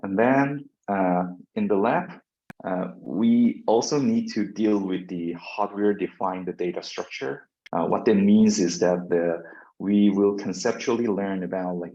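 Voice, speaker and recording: A man's voice: lowish voice, mellow. A man whose first language is Korean, giving a university lecture with many fillers and restarts. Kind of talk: classroom lecture. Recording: dull lo-fi lecture recording.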